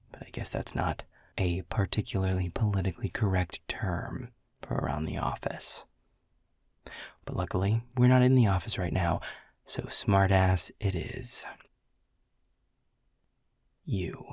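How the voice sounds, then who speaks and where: A man's voice: a little mellow, no room sound, heavily acted. An actor playing a world-weary, sarcastic male middle manager who grumbles and teases dryly. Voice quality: low gravelly husky voice. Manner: sarcastic, grumbling, dry teasing. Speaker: boss giving a farewell speech. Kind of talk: audio roleplay acting. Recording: dry close mic.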